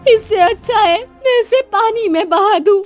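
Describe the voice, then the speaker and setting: A woman's voice: very high voice, a little slow, faint music behind. A woman playing a sorrowful, fearful young queen and tender mother in a cartoon story. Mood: fearful, bitter. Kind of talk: Hindi cartoon moral story acting.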